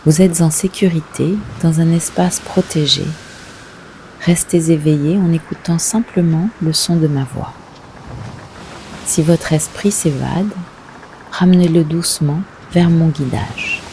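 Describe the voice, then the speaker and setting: Deep soft-toned woman doing guided meditation, gentle and unhurried w long pauses, bit of background hiss. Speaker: yoga nidra guide.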